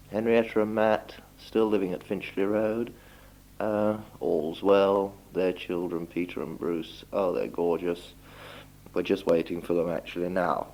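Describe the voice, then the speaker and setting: A young man speaking a halting, deliberate, politely phrased spoken letter on an old 1960s home tape recording. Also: low-pitched, a little mellow, low-quality recording. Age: young adult. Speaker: doctor recording a message for relatives. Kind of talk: spoken letter, informal monologue.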